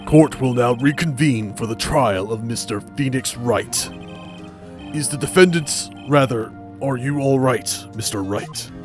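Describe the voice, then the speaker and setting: A man plays an old courtroom judge in an amateur video game dub. He is formal, ponderous and hesitant, at a middle pitch, with music in the background. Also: measured pace, lightly acted.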